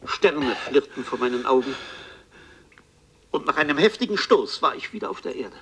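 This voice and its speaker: An actor playing a haunted Parisian bookseller, narrating his fantastical ordeal in a literary, deliberate and intense radio play style. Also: medium pitch, lively intonation, pushed and bright, slight background noise. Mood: fatalistic, dramatic. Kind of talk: dramatic first-person radio play narration.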